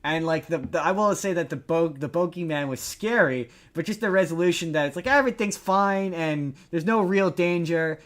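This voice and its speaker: A man's voice: a little high, normal speed, few pauses. A man co-hosting a podcast, chatting casually and critically about pop culture, sweary and given to mocking imitations. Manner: sweary, opinionated, mimics others mockingly. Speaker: podcast co-host.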